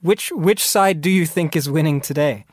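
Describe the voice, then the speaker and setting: A man's voice: on the high side, normal speed, no echo, clean studio sound. A male interviewer putting a thoughtful, lightly hedged question to a guest on radio.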